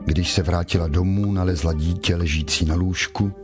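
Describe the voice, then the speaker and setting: A man with a deep voice narrates a scripture text. His delivery is measured and solemn, clearly scripted, with music playing behind him. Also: fairly even intonation.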